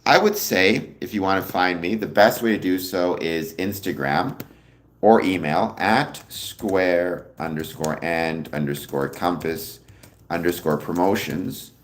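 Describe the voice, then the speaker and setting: A young man hosting a casual livestream, answering viewer comments in a relaxed, rambling way with a deep voice. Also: a little slow, slight background noise. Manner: relaxed, rambling, many ums, answers chat questions. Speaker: livestream host.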